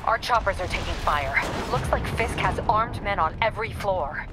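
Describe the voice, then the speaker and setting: An actress playing a businesslike, resolute police captain in a video game. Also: female voice, medium pitch, lively intonation, moderate pace, over loud music, close to an everyday voice. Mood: urgent, businesslike. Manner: terse, authoritative.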